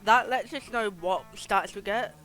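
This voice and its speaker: A streamer giving live game commentary and reading game text aloud in an animated, giggly way, with casual swearing. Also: mid-range voice, unhurried. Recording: music behind the voice. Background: game music.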